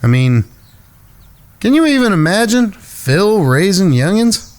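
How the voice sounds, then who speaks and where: A man's voice: high-pitched, very animated, a little slow, a little mellow, smooth. A man playing an earnest, protective younger brother in a cowboy gang, plainspoken and folksy, in a western audio drama. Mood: pensive, worried.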